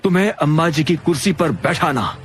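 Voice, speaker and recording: A man voicing a grave, earnest uncle in an audio drama, explaining in a deliberate way. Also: on the high side, a bit bright, soft background music, lightly acted. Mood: calming, earnest. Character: older uncle, measured, grave family patriarch confiding secrets.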